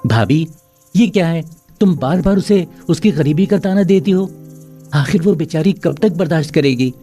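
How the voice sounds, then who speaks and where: A man's voice: fairly high pitch, normal speed, dark tone, faint music behind, lightly acted. A man voicing an earnest, protective young husband in a moral-story cartoon. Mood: indignant.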